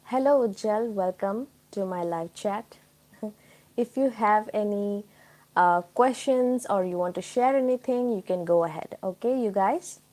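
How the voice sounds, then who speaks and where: A woman's voice: mid-range voice, a little slow, dark tone. A woman from Tripura with an Indian accent, hosting a casual live chat and explaining things informally to her viewers. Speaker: live stream host.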